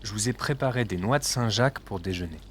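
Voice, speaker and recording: A man's voice: fairly low pitch, fast talker, fairly bright tone. An actor playing a friendly, hospitable middle-aged father in an audio drama. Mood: welcoming.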